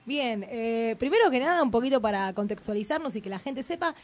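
Woman, radio host, fairly high voice, friendly interviewer. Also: expressive, fairly quick, faint music behind. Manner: friendly, conversational questioning.

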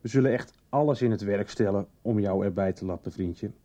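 An actor playing a stern, threatening police detective who questions sarcastically in a radio drama. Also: male voice, fairly low pitch, a little fast, warm tone, natural voice. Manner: pressing, sarcastic interrogator. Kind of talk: 1990s radio drama acting. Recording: dull lo-fi 1990s radio drama.

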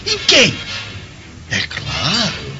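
Nobre romano ciumento, voz muito oscilante. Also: male voice, fairly high pitch, wildly swooping pitch, very slow, exaggerated voice. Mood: jealous, accusing. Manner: accusing, theatrical, sarcastic. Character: jealous, hot-tempered Roman nobleman accusing his brother. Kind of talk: radio drama acting. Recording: dull lo-fi, music underneath.

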